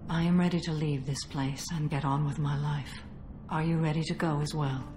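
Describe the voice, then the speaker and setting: A woman's voice: low-pitched, fairly even intonation, moderate pace, background noise, lightly acted. An actress playing a stern, weary old alien warrior mother in a video game, speaking formally and solemnly. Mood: grieving, weary.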